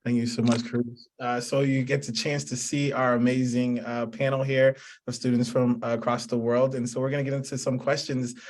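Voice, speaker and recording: A man moderates a student panel in an appreciative, affirming way. His voice sits at a middle pitch with fairly even intonation. He speaks unscripted, handing questions from one panelist to the next. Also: fairly quick.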